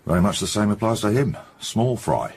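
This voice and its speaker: An actor playing a gruff, no-nonsense middle-aged English chief inspector in a radio detective drama, blunt and colloquial. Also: male voice, fairly low pitch, expressive, darkish tone. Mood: brisk musing. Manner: blunt, colloquial, brisk summing-up.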